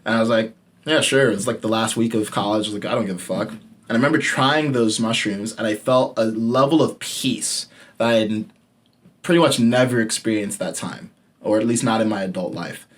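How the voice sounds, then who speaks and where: Young guy podcast host, candid and casual, swears a lot, gets quiet and low on the big points. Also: brisk. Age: late 20s. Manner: candid and casual, swears freely, drops to a low murmur for emphasis. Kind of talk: personal storytelling monologue.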